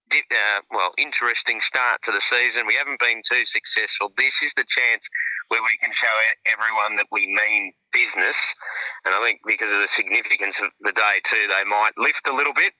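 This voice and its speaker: A man with an Australian accent giving relaxed, chatty football predictions on a radio show, with fillers and restarts. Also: mid-range voice, dry recording, lo-fi sound. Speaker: football pundit, regular guest. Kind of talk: casual radio sports analysis.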